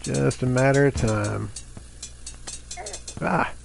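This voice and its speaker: A man streaming a game, voice a little raspy from reading aloud the day before, chatting casually with lots of "you know"s and "uh"s. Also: medium pitch, slow, slight background noise. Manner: chatty and self-justifying, lots of fillers. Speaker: gaming streamer. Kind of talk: casual gameplay commentary.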